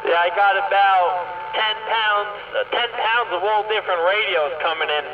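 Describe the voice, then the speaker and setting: Man, muffled noisy CB transmission, high voice, casual blunt talk, profane. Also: moderate pace. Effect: CB radio transmission. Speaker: CB radio operator. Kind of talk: casual CB radio chat. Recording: muffled, very noisy CB radio, distant.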